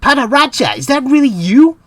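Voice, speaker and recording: A woman's voice: high-pitched, sing-song, moderate pace, fairly bright tone, exaggerated voice. A woman playing an anxious but earnest teenage boy space captain in a comic dub. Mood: urgent, resolute.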